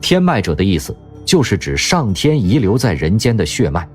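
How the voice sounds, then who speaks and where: A man narrating an audio drama in an expressive storytelling manner. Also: lowish voice, normal speed, soft background music.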